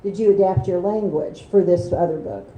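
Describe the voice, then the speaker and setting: A woman's voice: lowish voice, moderate pace, mellow, roomy sound, muffled recording. A woman moderating a conversation with an author at a bookstore, affirming and guiding questions. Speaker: event interviewer. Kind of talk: moderating a book talk. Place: bookstore event space.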